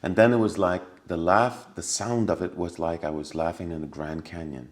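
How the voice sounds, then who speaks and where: A man, a podcast guest, tells a personal story in casual conversation. He acts out the scenes with sound effects and laughter, and drops to a hushed voice for remembered lines. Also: low voice, fairly even intonation, normal speed.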